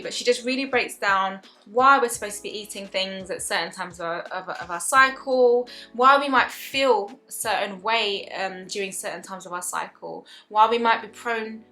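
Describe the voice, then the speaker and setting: A woman's voice: a little high, pushed and bright. A woman of about thirty chatting earnestly to camera as a vlogger, with many restarts and fillers. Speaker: wellness vlogger. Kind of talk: casual talk to camera.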